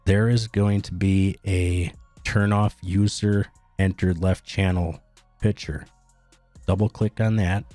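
Male tutorial narrator, very low and mellow, plain instructional delivery. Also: dry recording. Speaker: tutorial host. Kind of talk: step-by-step software tutorial narration.

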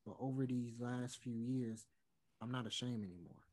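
A man's voice: medium pitch, steady tone, normal speed, darkish tone, soft background music, close to an everyday voice. Jamie, apologetic singer acted by a man, earnest. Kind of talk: online one-act play table read. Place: room at home, video call.

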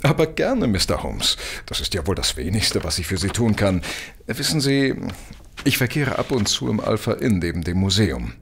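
Audio drama: sheepish, wordy gentleman, very low and swooping, faint noise behind. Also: sing-song, moderate pace. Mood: grateful, polite. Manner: rambling, hesitant, polite and long-winded. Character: talkative, tipsy-living, apologetic married gentleman, mildly sheepish. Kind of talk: German audio drama acting.

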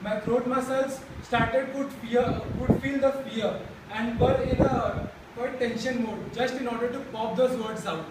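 A man's voice: very high-pitched, steady tone, unhurried, hardly any pauses. A young man who stammers and restarts words, giving a personal speech to a support group in a classroom. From India. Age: young adult. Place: classroom with a chalkboard. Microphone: far camera. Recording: echoey room from far off, background noise.

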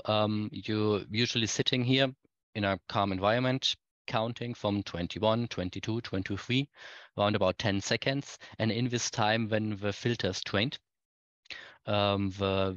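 A man with a fairly low voice delivers a technical keynote over a video call. He explains step by step in hesitant, halting phrases. The sound is close and dry but somewhat muffled. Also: steady tone, no room sound. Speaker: conference speaker. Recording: dull, muffled lo-fi online-call audio.

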